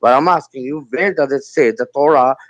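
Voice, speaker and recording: A man's voice: mid-range voice, fairly quick, dry recording, dull audio. A man in a heated debate, speaking defensively and insisting that the other side listen to him. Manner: defensive, insists on being heard. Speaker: debate guest.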